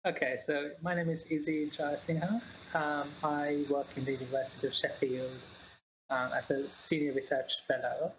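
A woman's voice: low-pitched, little pitch movement, normal speed, highs cut off, a little hiss or hum. A woman from New Zealand, a guest scientist, explaining her work in a casual interview with many hesitant fillers.

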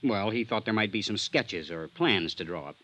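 An actor playing a guarded young murder suspect in a 1950s radio drama, with a curt, defensive manner. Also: male voice, fairly low pitch, lively intonation, normal speed, a bit bright.

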